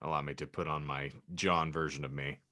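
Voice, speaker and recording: A man's voice: extremely low pitch, little pitch movement, a little fast, pushed and bright, no room sound, studio quality. A man hosting a casual group podcast, speaking in a wordy, theatrical way. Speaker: podcast host. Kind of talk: casual group chat with a read-out prompt.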